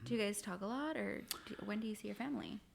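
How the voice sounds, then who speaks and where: A woman's voice: mid-range voice, gravelly, crowd noise. Chatty woman host, Spanish first language. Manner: chatty, quick to laugh, curious questions. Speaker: podcast host. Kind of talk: casual interview chat.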